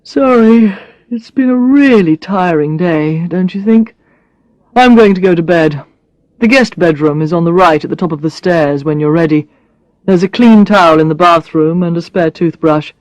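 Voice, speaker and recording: A kindly, tired young man who is her boss, speaking in a gentle, matter-of-fact way, as a woman reads him. The voice sits a little low and sounds close and dry. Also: normal speed, no echo, natural voice, low-quality recording.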